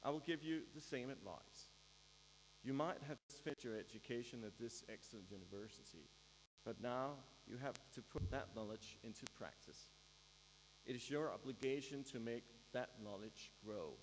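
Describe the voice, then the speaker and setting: A man with a Danish accent delivers a prepared ceremonial speech at a measured pace, with a dry, wry humor. His voice rings through a large hall with a long reverb tail. A faint electrical hum sits underneath. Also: mid-range voice, expressive. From Denmark. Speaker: graduation speaker.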